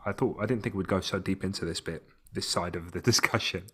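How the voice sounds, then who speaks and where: A man from Tunisia co-hosting a podcast, talking in an animated, emphatic way and often restarting his phrases. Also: low-pitched, quick pace, breathy edge. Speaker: podcast co-host. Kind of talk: casual podcast chat.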